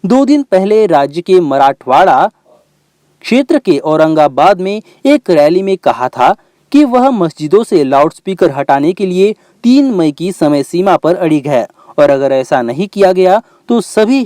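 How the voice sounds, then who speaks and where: A man's voice: on the high side, sing-song, measured pace, dark tone, no room sound. A man narrating news reports from a script as a reporter.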